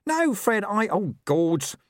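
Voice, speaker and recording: A man's voice: high voice, sing-song, normal speed, dry recording, studio quality, pitched above the performer's own voice. A man voicing a flustered male corporal in a comic audiobook, wheedling, wailing and stammering as he placates. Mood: nervous, wailing.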